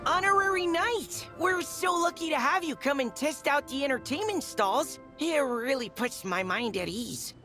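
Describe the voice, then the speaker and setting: A nervous stammering young inventor, fairly high voice, faint music. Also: female voice, a bit bright. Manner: fretful, stammering. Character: shy young woman inventor, nervous and earnest. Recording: game audio with faint music.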